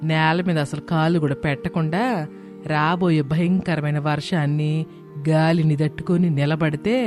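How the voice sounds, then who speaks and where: A man's voice: on the high side, a little mellow, lightly acted. A man voicing a stubborn, scornful mother-in-law in a cartoon moral story, taunting and commanding. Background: background music.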